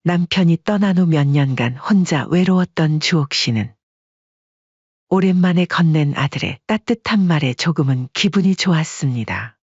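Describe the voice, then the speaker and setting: A narrator reading a family drama in calm, measured scripted narration. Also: mid-range voice, breathy edge, no echo, highs cut off.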